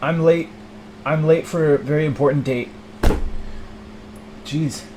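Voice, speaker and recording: A man acts out a harried young businessman in an improvised sketch. He is abrupt and panicky, forever apologetic and running late, with a clear hum in the room behind him. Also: on the high side, fairly even intonation, a little slow, a little mellow, natural voice, rough recording. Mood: stressed, hurried. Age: late twenties. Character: stressed, frantic young ambitious businessman, always late.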